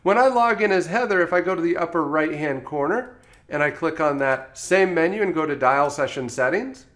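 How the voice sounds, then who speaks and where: A man presenting software training in a step-by-step instructional tutorial style, as a voice-over. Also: on the high side, lively intonation, fairly quick. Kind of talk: scripted tutorial narration.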